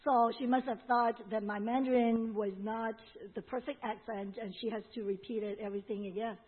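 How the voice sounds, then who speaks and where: A woman's voice: fairly high pitch, fairly even intonation, normal speed, slightly rough. A woman with a Hong Kong accent interpreting a sermon, relaying each phrase with fillers and restarts. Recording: tinny phone-like sound.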